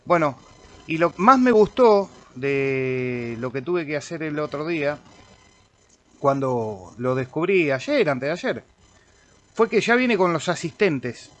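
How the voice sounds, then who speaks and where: Argentine man casually demonstrating software. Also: fairly high pitch, animated, slow. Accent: Argentine Spanish. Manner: informal, thinking aloud while clicking through. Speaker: amateur radio operator demonstrating software. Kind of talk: casual screen-recorded software tutorial.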